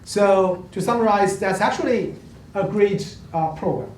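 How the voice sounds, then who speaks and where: A man's voice: sits high, a little slow, a little mellow. Man, faculty presenter, hesitant delivery, distant in a live hall. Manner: hesitant, many fillers and restarts. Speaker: program speaker at a university info day. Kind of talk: presenting slides to prospective students. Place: lecture hall. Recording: roomy hall, distant mic, faint hum.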